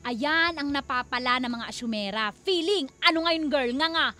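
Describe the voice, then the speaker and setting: A woman's voice: high voice, moderate pace, sharp tone, soft background music. A Filipino woman co-hosting radio with teasing, giggly, casual banter. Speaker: radio co-host.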